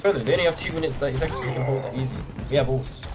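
A young man on team voice chat, making excitable callouts and teasing his friends. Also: mid-range voice, racing, noisy background. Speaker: teammate. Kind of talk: casual gaming voice chat.

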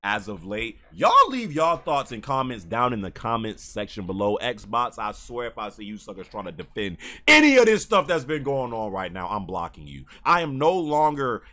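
A man's voice: medium pitch, big pitch swings, normal speed, few pauses, bright, forceful tone. An American man in his thirties ranting casually to camera as a gaming YouTuber, emphatic and given to repeating phrases. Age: 30s. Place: small bedroom, indoors.

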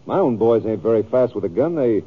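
An American actor playing a stubborn, self-justifying cattle rancher with folksy, rough grammar in a radio western. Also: male voice, fairly low pitch, animated, brisk. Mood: insistent. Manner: folksy, ungrammatical. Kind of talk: 1950s radio western acting. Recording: thin, phone-like old radio recording.

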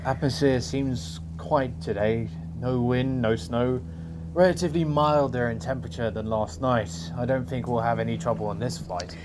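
A man acting in an audio drama as a level-headed polar expedition member, measured and serious. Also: mid-range voice, fairly even intonation, background noise, lightly acted. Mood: calm, steady.

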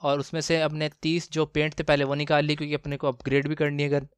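A man hosts a gaming video in a fairly high, clean voice. He commentates casually and excitedly in slang, exclaiming and repeating phrases for emphasis. The recording is dry and close. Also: fast, clean tone, no room sound. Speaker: gaming channel host.

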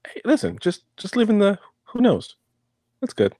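A man's voice: high-pitched, wildly swooping pitch, moderate pace, warm tone, dry recording, studio quality. A man co-hosting a casual podcast, jumping in with joking banter. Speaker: podcast co-host. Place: home room, on a video call.

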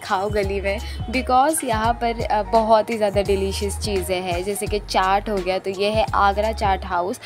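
A young woman with an Indian accent gives a casual vlog voice-over. She keeps dropping English phrases into her talk as she guides the viewer along. Her pitch sits in an ordinary female range, and loud music plays behind her. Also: fairly even intonation, a little slow, few pauses. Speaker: travel and food vlogger.

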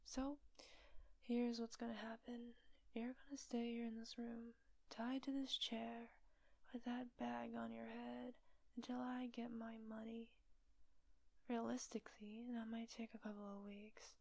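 A teasing female kidnapper acted by a woman in an intimate audio roleplay. Her voice is fairly high but mellow, with a gravelly creak under the soft whisper. She sounds smug and coaxing, calmly in control. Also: measured pace, dry recording. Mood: cool, menacing. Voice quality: soft, breathy, often whispery. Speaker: voice actor.

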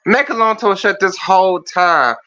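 A man with a feminine-sounding voice rants on a video call show. His tone is bright and his pitch sits a little high for a man. The call audio is muffled. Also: animated, normal speed, smooth. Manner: profane, blunt and rambling. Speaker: caller. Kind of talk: casual call-in chat.

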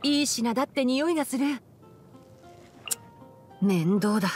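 A woman playing a young male fox-eared forest ranger in a game, bookish and brisk, with a matter-of-fact, helpful manner. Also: fairly high pitch, lively intonation, darkish tone. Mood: calm, helpful. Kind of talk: Japanese anime-style game character voice lines. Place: studio. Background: background music.

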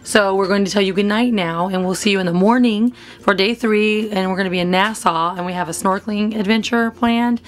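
A woman's voice: medium pitch, fairly even intonation, brisk, faint music behind. A middle-aged woman chatting casually as a vlog host, recorded on a handheld camera. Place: aboard a cruise ship, mostly indoors. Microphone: handheld camera at arm's length. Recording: busy crowd noise behind.

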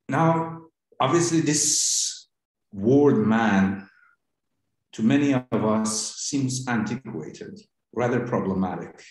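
A man with a Persian accent, a native Farsi speaker, lectures on philosophy in a low voice. He speaks slowly and deliberately and pauses often between phrases. The recording sounds very echoey, as if made in a bare, reverberant room. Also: a little mellow. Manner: deliberate, halting delivery with frequent pauses. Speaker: seminar instructor.

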